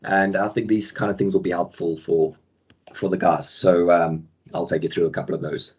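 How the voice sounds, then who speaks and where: A man with a deep, husky voice, croaky from the flu, hosts a product webinar over a video call. He talks casually, full of ums and filler. The call audio sounds muffled. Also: normal speed. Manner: relaxed, many ums, friendly filler words. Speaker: webinar host and product manager. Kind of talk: casual software webinar presentation. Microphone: video call microphone.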